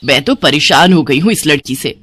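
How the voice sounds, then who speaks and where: A woman's voice: low voice, expressive, normal speed, fairly bright tone, a little hiss or hum, voice pushed down. A woman voicing an exasperated middle-aged mother in a cartoon story, scolding and sarcastic. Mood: exasperated, nagging. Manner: nagging, sarcastic. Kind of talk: Hindi cartoon story dialogue.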